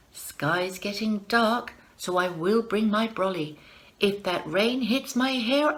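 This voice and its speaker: A woman giving a wry, expressive recital of comic rhyming verse. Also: on the high side. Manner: wry, expressive, exasperated asides. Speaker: performer reading a piece.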